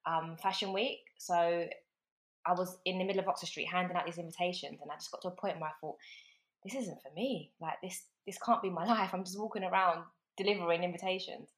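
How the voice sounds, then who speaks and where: A young woman from London with a London accent, a football agent guest telling stories in a chatty, animated way with fillers, recorded over a remote call. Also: on the low side, fairly quick. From London, England. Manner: chatty, animated storytelling with fillers and quoted thoughts. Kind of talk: casual storytelling interview.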